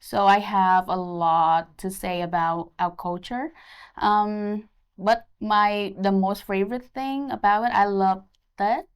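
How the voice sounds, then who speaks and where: A woman's voice: mid-range voice, steady tone, takes it slowly, smooth. A woman in her twenties from Vietnam, speaking English with a Vietnamese accent as a pageant candidate, a little nervous but eager. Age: 20s.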